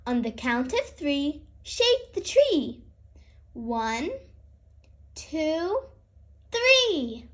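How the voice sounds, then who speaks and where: A woman's voice: sits high, very animated, very slow, pauses often, fairly bright tone, full character voice. A woman voicing an old grandfather pig from a storybook, practical and cautioning, giving emphatic instructions. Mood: instructing.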